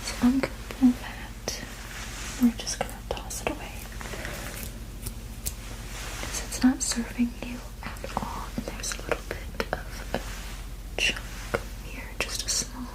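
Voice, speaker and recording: Soft whispering woman, soothing ASMR roleplay. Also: a little high, level tone, rough recording. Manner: soothing, reassuring, unhurried. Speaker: ASMR performer playing a witch sleep therapist.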